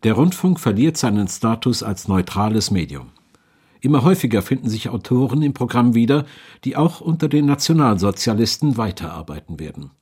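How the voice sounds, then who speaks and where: A man's voice: medium pitch, animated, a little fast, a little mellow, breathy edge. A man reading scripted documentary narration in a sober, factual manner.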